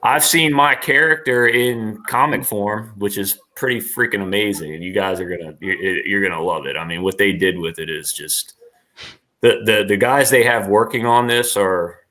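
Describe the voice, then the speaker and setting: A man's voice: lowish voice, moderate pace, pushed and bright. A man talking casually as an interview guest, rambling and enthusiastic in a slangy way.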